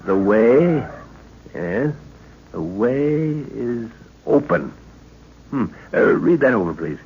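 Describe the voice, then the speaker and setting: A man's voice: mid-range voice, very animated, takes it slowly. An actor playing an older, absent-minded professor and father in an old radio adventure serial, exclaiming and muttering to himself in an avuncular way. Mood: absorbed, muttering. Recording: muffled, noisy old-time radio recording.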